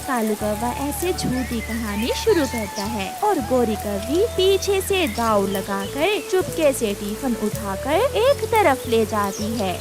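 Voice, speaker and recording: A woman's voice: a little high, sing-song, slow pace, few pauses, over music. A woman reading scripted narration for a children's moral story.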